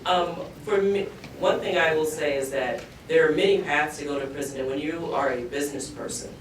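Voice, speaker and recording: Echoey, distant man speaking earnestly. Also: fairly quick. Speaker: panelist, entrepreneur. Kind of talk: earnest panel discussion speech. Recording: echoey room, distant mic, background rumble.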